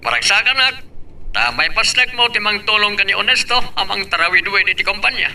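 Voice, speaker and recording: A stern patriarch and company boss in a radio drama. The voice is high, harsh and forceful with a gravelly creak, picked up from a distance. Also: male voice, animated, brisk, heavily acted.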